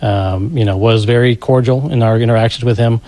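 A man's voice: medium pitch, a little fast, mellow. A man giving a plainspoken, somewhat hesitant account in an interview as a law enforcement agent. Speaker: FBI special agent being interviewed. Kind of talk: interview recollection.

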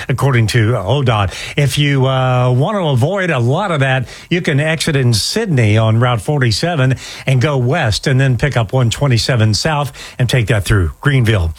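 Man, radio traffic report, brisk, casual, dry studio voice. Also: medium pitch, dry recording. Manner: brisk, casual, with filler pauses. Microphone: studio microphone.

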